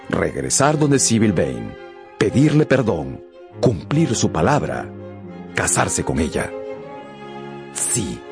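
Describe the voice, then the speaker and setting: A man narrating a radio adaptation of a novel in a solemn, theatrical style with deliberate pauses. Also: on the low side. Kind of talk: dramatic scripted literary narration for radio.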